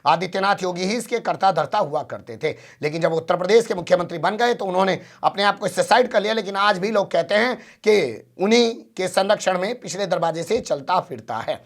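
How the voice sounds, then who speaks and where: A man's voice: high voice, animated, fairly quick, hardly any pauses. A man presenting news commentary in an emphatic way, posing rhetorical questions as he goes.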